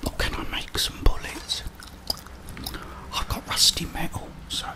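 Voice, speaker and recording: A man in his thirties whispering soft, unhurried ASMR game commentary into a close microphone. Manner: soft, unhurried, murmuring to viewers. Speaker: ASMR gaming host. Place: small indoor room. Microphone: close desk microphone, headphones worn.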